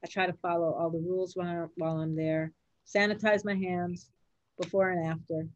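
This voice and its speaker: Older lady chatting to kids in an interview, lowish voice, encouraging, simple words, really dry sound. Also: level tone, normal speed, dry recording, highs cut off. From Puerto Rico or Puerto Rican family. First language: Spanish and English. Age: older, a grandmother. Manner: encouraging, simply worded, addressed to children. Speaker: interviewed doctor. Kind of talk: casual interview answers to children.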